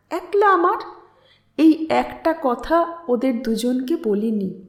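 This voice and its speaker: Female story narrator, slow and expressive, measured dramatic reading, roomy echo. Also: sits high. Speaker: audiobook narrator and channel host.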